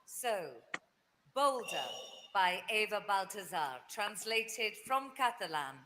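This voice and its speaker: A woman's voice: mid-range voice, expressive, slow. A woman hosts a live prize ceremony, reading out the shortlist in an upbeat, presenter-like way. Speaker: ceremony host. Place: event venue. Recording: noisy venue stream.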